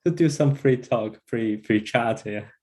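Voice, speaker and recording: Slow, halting Chinese-accented man, mellow voice. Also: medium pitch. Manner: halting, hesitant. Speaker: volunteer interpreter. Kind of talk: informal live interpreting.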